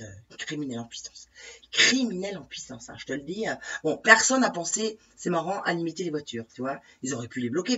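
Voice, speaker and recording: A woman's voice: sits low, fairly bright tone, husky. A woman with a head cold, ranting indignantly in a casual monologue to her followers, repeating herself and asking pointed questions. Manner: indignant, exasperated, repeats herself, many fillers and tag questions. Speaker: vlogger addressing her followers. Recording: distant, dull lo-fi recording.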